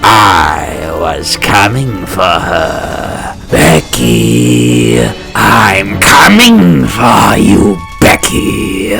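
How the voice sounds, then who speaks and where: A man voicing a sadistic, theatrical supervillain obsessed with fear, gravelly and menacing, in a comic dub. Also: deep voice, huge pitch swings, a bit bright, faint music behind, full character voice. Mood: savouring, menacing. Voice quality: gravelly, creaky. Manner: slow, menacing, theatrical, savouring words. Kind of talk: comic dub villain monologue. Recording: orchestral score behind voice.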